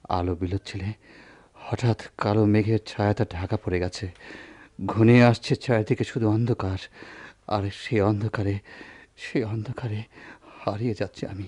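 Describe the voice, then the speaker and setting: A sick, tormented village doctor in a radio play. His voice is low and weary, with groaning breaths. He speaks in formal, poetic phrases with a brooding, declamatory weight. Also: steady tone, measured pace, long pauses, mellow. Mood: anguished. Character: ailing, anguished village doctor, gentle and brooding. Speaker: radio drama actor. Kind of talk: Bengali radio drama acting, poetic monologue.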